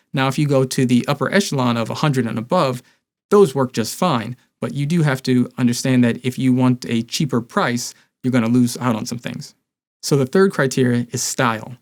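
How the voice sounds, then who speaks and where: A man's voice: mid-range voice, a little mellow. A man in his thirties presenting a scripted explainer to camera as a review host. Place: small indoor home studio.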